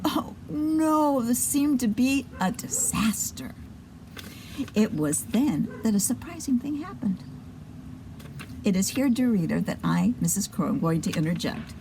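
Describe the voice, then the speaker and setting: A woman's voice: fairly high pitch, big pitch swings, measured pace, background noise. A woman hosting a children's show and telling a story, with chatty asides to her listeners.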